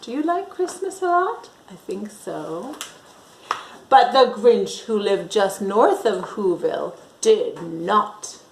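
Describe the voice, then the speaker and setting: Female children's storyteller: unhurried, expressive read-aloud, mid-pitched voice, roomy echo. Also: creaky, slight background noise. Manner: expressive, asks young listeners questions, draws out words. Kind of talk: animated picture-book read-aloud.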